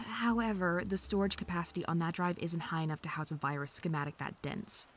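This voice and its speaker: A woman's voice: fairly low pitch, steady tone, fairly quick, lightly acted. A woman playing a snarky, defensive female hacker under interrogation in an audio drama, sarcastic and indignant.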